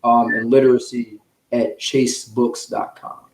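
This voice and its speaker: A man's voice: mid-range voice, level tone, measured pace, a little mellow. A man speaking as a guest author, earnest and encouraging in casual, motivational interview talk. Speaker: children's book author, guest. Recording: roomy, echoing sound.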